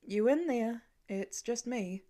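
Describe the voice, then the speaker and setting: A woman's voice: fairly high pitch, takes it slowly, mellow. A protective father in his middle years, acted out by a woman narrator. He talks in wry asides and urgent calls, as a storybook character devoted to his family. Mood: wry, casual. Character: protective middle-aged father, gruff then frantic with worry.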